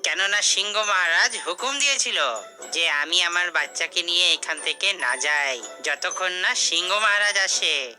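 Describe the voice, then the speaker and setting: A woman's voice: on the low side, unhurried, pushed and bright, soft background music. A woman voicing a brave, cunning mother goat in a cartoon fairy tale, speaking in a bold, challenging way. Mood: bold bluffing.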